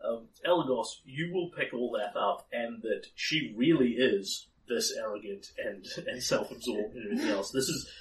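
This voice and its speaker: A man's voice: medium pitch, normal speed, few pauses, recorded from a distance, a little hiss or hum, poor audio. A man running a tabletop game as game master, explaining a character at length with many ums and restarts. His voice is slightly worn.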